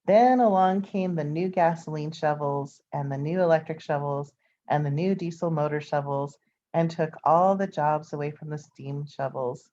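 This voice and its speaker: A woman reads a children's picture book aloud in a fairly low, very mellow voice. She tells it expressively, with informal asides. The recording is slightly dull and lo-fi. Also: moderate pace. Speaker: storybook reader. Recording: dull, lo-fi sound.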